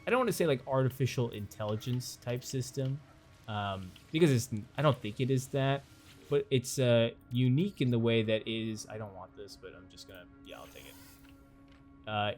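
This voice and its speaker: A young man in his twenties talks loosely through a late-night gaming session, drifting off topic and poking fun at himself. His voice sits at an everyday middle pitch. Quiet game music plays underneath. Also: moderate pace. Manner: rambling, self-deprecating, loses his train of thought. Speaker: gaming video host. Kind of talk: casual sleepy gameplay commentary.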